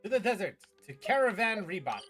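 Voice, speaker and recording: A man giving casual, reactive game commentary as a streamer, slangy, joking and excitable. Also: sits high, fairly quick. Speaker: gaming streamer. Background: game music.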